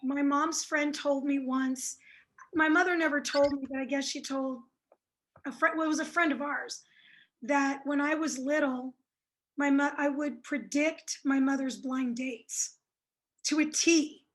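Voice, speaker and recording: A woman's voice: high voice, steady tone, measured pace, fairly bright tone, poor audio. A woman whose first languages are French and Italian, chatting shyly as a psychic guest on an interview show, with many fillers and hesitations. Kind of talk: casual interview chat.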